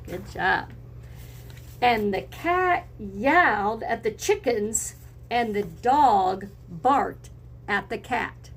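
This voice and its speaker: A woman with a fairly high voice and a strong creak reads a picture book to young children. She is expressive and encouraging, using simple phrases and turning to her listeners with questions. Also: slight background noise. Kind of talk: read-aloud lesson for children, with questions to listeners.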